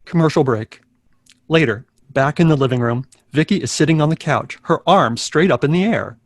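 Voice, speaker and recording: A man's voice: on the high side, normal speed, no echo, studio quality. A man reading stage directions as the narrator of a live sitcom table read.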